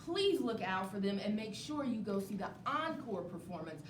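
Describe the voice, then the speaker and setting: A woman hosting a casual live interview, encouraging and quick to affirm what people say, promoting as she goes. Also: very animated. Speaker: live show host interviewing a play's cast. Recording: echoey noisy room, distant mic, low rumble.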